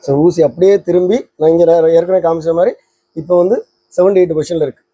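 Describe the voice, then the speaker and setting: A man presents an informal demonstration. His voice sits a little high for a man and has a soft, mellow tone. He speaks quickly, guiding viewers step by step, in a close, dry sound. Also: fairly even intonation, husky, no echo. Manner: explains step by step, addressing viewers directly. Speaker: presenter of a record player tutorial channel.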